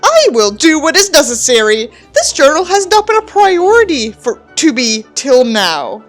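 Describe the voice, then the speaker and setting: A woman reads the part of a resentful young man confiding in his journal. The delivery is pompous and melodramatic, with lively swings in pitch and faint music underneath. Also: normal speed. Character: self-important, bitter young male journal writer. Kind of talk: mocking dramatic reading of a novel.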